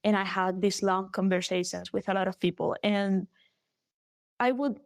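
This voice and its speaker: Woman, young, Spanish accent, native Galician, animated, laughs a lot, dry sound. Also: medium pitch, normal speed, no room sound. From Galicia, Spain. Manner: animated, laughs often, restarts her sentences. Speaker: guest singer. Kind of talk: casual interview chat.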